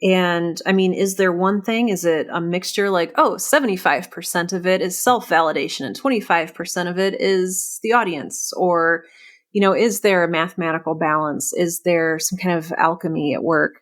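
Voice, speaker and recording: Female podcast host, slightly low voice, conversational and reflective, thinking out loud through questions. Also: moderate pace. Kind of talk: conversational interview.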